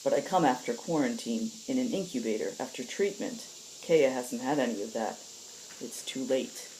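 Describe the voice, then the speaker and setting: A woman's voice: rumbling low, moderate pace, a little mellow, a little hiss or hum, rough recording. A woman voicing a stern, weary, blunt female agent in a story.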